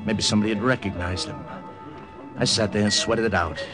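An actor playing a tense American undercover agent in a radio drama, speaking in a clipped, matter-of-fact way. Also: male voice, fairly low pitch, fairly quick, music in the background, natural voice. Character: tense American undercover agent narrating his wartime mission. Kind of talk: old-time radio drama narration and dialogue.

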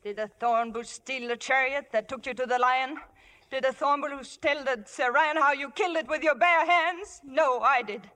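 An actress playing a jealous, vengeful young temptress with intense, venomous delivery in 1950s radio drama. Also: female voice, high voice, normal speed, pushed and bright. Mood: passionate.

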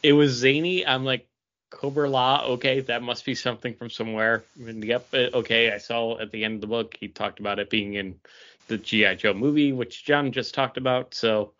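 A man's voice: mid-range voice, steady tone, moderate pace, fairly bright tone. A man co-hosting a podcast in casual chat, with a wry, noncommittal way of talking. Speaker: podcast co-host. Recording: dull, lo-fi podcast audio.

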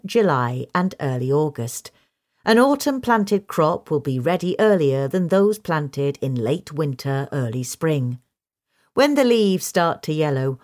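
A woman reading a scripted question-and-answer guide in a measured, instructional manner. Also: low-pitched, darkish tone. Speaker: reader of a magazine article.

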